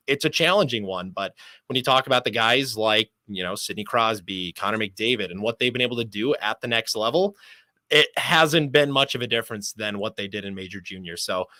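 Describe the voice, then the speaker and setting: A young man from Michigan speaking as a sports announcer being interviewed, articulate and measured, with humble, elaborate phrasing in a conversational style. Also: mid-range voice, bright, forceful tone, no room sound.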